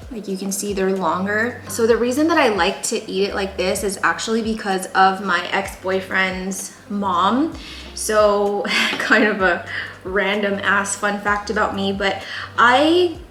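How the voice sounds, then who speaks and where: A woman's voice: mid-range voice, a little slow, a bit bright, a little hiss or hum. Young woman, cooking-video host, rambling casual delivery, echoey home kitchen with faint cookware clatter. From San Jose, California. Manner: chatty and rambling, many fillers. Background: pots, dishes and knife clicks.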